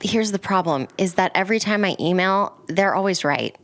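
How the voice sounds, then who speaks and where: Woman, medium pitch, casual podcast chat, self-deprecating, apologetic. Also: animated, a little fast. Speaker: podcast co-host. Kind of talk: casual chat among friends.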